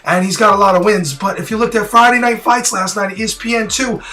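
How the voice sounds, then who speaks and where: A man with a raspy, hoarse voice, worn out from yelling, talking straight to camera in a blunt, rambling and opinionated way. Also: high voice, quick pace. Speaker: boxing prediction vlogger. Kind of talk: casual direct-to-camera talk.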